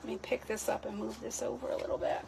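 A woman's voice: a little high, little pitch movement, fast, creaky. A middle-aged woman losing her voice, hosting a live craft stream from her home desk in a chatty, rambling, self-deprecating way. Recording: distant from the mic, faint room noise.

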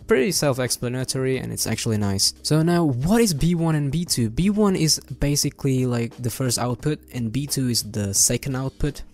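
A young adult man hosting an informal software tutorial, explaining things step by step in a casual way. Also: medium pitch, moderate pace, few pauses, soft background music. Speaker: tutorial host. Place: indoor room at a desk.

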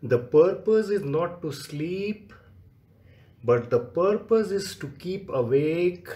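A man leading a guided relaxation meditation as a yoga nidra instructor, giving measured instructions in short phrases with long pauses. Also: sits high, lively intonation, dark tone. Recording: echoey, roomy sound.

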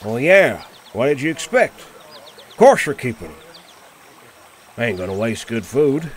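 A man playing a gruff, teasing country fellow in a roleplay audio, grumbling in a folksy way. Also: medium pitch, sing-song, unhurried, slight background noise.

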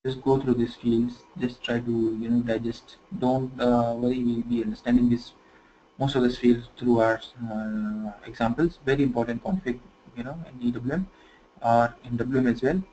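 A man teaching software configuration in a screen-recorded tutorial, talking conversationally and filling pauses with "you know". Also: medium pitch, steady tone. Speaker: software trainer. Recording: slightly dull lo-fi screen recording with faint noise.

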